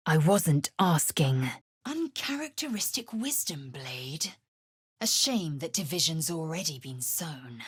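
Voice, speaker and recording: An actress playing a fierce, haughty young female alien warrior in a video game, with a raspy voice and clipped, imperious, disdainful delivery. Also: low-pitched, very animated, takes it slowly, exaggerated voice. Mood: resolute, curt. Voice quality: raspy, husky. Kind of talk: video game character acting.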